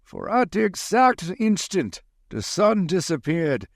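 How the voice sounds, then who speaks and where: An urgent older professor who hunts vampires played by a man in a period radio play. His high voice swings widely and dramatically as he gives emphatic orders. The sound is dry, with faint music behind. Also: big pitch swings, slow pace, dry recording, full character voice. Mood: grave. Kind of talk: 1930s-style radio drama acting.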